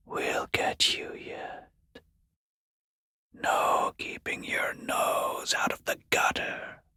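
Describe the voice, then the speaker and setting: A man voicing a foul-mouthed, sarcastic watcher in a video game, taunting in a rough, breathy, creaky half-whisper. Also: medium pitch, bright, forceful tone, no echo, clean studio sound, full character voice. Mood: menacing mockery. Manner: sarcastic, taunting, drawn-out mockery. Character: sneering, foul-mouthed watcher peeking through a harbour gate gap. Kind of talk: video game character voice acting.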